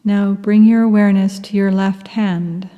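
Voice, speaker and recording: A woman guiding a yoga nidra meditation, speaking softly and soothingly, sometimes dropping into a half-whisper. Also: medium pitch, steady tone, big-room sound, lo-fi sound. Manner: soft, slow, soothing, half-whispered at times. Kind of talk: guided meditation.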